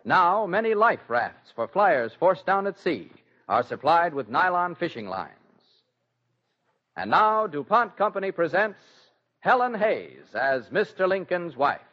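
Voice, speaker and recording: A man's voice: high-pitched, big pitch swings, unhurried. A man giving a formal, declamatory scripted announcement in the manner of 1940s radio. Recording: dull 1940s radio recording with music.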